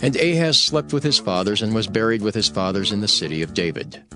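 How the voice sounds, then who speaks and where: A man's voice: fairly low pitch, big pitch swings, fairly quick, over music. A man narrating scripture in a solemn, emphatic, dramatized storytelling style.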